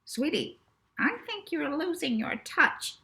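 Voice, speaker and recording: A woman's voice: sits high, sing-song, takes it slowly, gravelly, sharp tone, voice pushed up, exaggerated voice. Woman putting on a drawling, glamorous New York executive woman. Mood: scornful.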